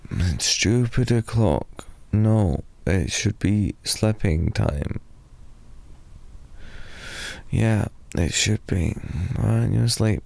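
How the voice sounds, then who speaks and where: A young British man improvising a sleepy boyfriend ASMR roleplay, softly rambling and slipping into whispers. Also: fairly low pitch, animated, takes it slowly, gravelly. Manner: soft, drowsy, rambling with slips into whispers. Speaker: ASMR performer.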